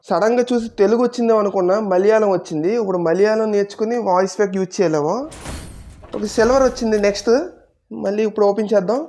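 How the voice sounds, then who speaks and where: A woman's voice: medium pitch, very fast, mellow. A woman hosting a gaming video with casual commentary to viewers.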